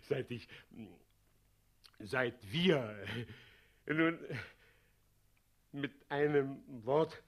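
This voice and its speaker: Fawning old father in a radio drama, evasive and faltering, slow delivery with big pitch swings. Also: exaggerated voice. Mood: flustered, halting. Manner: halting, faltering, obsequious. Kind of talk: German radio play acting.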